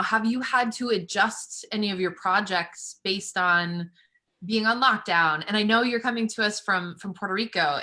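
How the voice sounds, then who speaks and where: A woman's voice: medium pitch, pushed and bright. A woman hosting a remote interview, enthusiastic and affirming, asking long, winding questions. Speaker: host, coach. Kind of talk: casual interview conversation.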